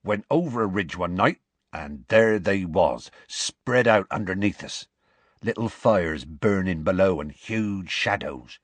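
A man voicing a huge, gruff half-giant groundskeeper who talks in a rustic dialect. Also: low-pitched, expressive, a little slow, no echo. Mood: storytelling.

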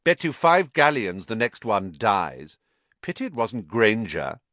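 A man's voice: lowish voice, sing-song, a little slow, fairly bright tone, no room sound, voice pushed up. Spoiled bully boy, sneering, gloating, voiced by a man. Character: smug, sneering schoolboy bully.

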